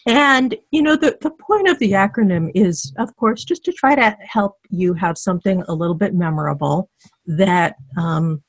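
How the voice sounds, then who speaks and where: Woman, middle-aged, husky lowish voice, dry close sound, coaching class on a call. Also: lively intonation, moderate pace, no echo. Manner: warm encouraging, many fillers and restarts. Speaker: dating coach co-hosting a teleclass. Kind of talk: conversational teaching on a video call. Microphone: video call.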